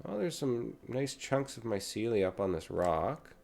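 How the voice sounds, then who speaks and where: A low-voiced young man, hoarse and raspy from a cold, chatting easily as he plays. His tone is dark and mellow, and he talks at a desktop mic. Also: a little slow. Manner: relaxed, chatty, talks while playing. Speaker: gaming channel host. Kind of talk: casual gameplay commentary.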